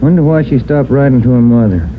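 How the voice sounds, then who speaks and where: An American man playing a steady, businesslike police sergeant and partner in an old radio drama. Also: medium pitch, quick pace, lightly acted. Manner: plain, businesslike. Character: plain-spoken male police sergeant, steady junior partner. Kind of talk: 1940s-50s radio drama acting. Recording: noisy, muffled vintage radio recording.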